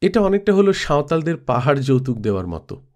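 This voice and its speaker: A man's voice: fairly high pitch, very animated, fairly quick, darkish tone. A man narrating a literary travel tale as a scripted audiobook reading. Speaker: storyteller reading a classic audiobook.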